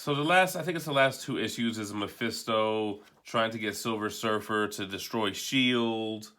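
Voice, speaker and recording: A man's voice: fairly low pitch, normal speed, bright, forceful tone. A man giving an offhand, rambling, casual video review as a comic book collector, unscripted and mildly profane.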